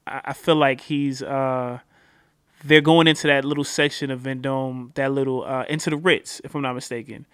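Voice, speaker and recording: A man's voice: medium pitch, steady tone, normal speed, a bit bright, smooth, dry recording, clean studio sound. A man hosting a casual interview, laid-back, asking long rambling questions full of "you know" and "like". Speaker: host.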